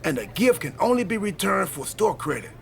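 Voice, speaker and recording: A man's voice: sits high, big pitch swings, brisk, creaky, heavily acted. A man playing a chatty, quirky, earnest friend in video game cutscene dialogue. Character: chatty, quirky, earnest male friend and time-travel teammate.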